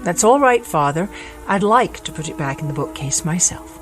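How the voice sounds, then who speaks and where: A woman acting a suspicious young daughter in radio drama. Her pitch moves expressively as she speaks in clipped, questioning, politely defiant phrases over faint music. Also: animated, moderate pace, natural voice. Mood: restless, guarded. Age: young adult.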